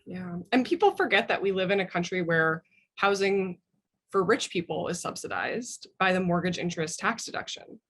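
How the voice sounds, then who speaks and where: Lowish American woman, casual and emphatic. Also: expressive, moderate pace, fairly bright tone, husky. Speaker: podcast guest, writer. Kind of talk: casual opinionated discussion.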